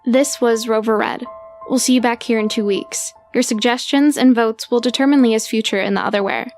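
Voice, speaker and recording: Slightly high-voiced woman announcer over music. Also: steady tone, normal speed, darkish tone. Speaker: podcast announcer. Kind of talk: scripted show credits announcement.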